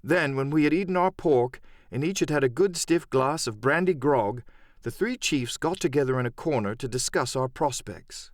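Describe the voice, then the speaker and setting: A man narrating an adventure novel as a dramatic audiobook, in a measured storytelling manner. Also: mid-range voice, no echo, clean studio sound.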